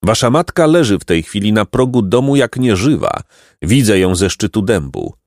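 Man as ancient wise raven, stern, preachy, scornful, solemn storybook delivery, animated intonation. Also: on the low side, normal speed, no room sound, studio quality. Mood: grave warning. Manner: solemn, condemning, oracular. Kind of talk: storybook character reading.

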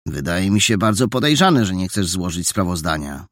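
A man reading an audiobook and voicing a boy who leads a gang and talks in an officious, suspicious tone, with a husky, creaky voice. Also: low voice, big pitch swings, normal speed, fairly bright tone, no echo, clean studio sound, heavily acted.

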